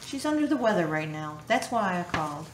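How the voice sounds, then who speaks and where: A caring, reassuring big sister played by a woman in a radio drama, with animated pitch. The recording is roomy and noisy with clicks. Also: fairly quick.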